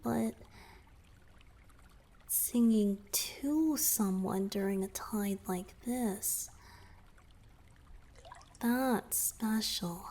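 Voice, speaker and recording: A woman's voice: medium pitch, extremely slow, long pauses, dark tone. A woman playing a shy, flustered young merman boyfriend in a romantic ASMR roleplay, speaking hesitantly and earnestly to the listener. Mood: explaining, earnest. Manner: hesitant, flustered, with sighs and murmured asides. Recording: background noise with trickling water.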